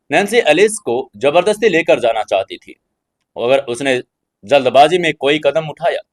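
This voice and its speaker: A man narrating a scripted dramatic audio story. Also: fairly high pitch.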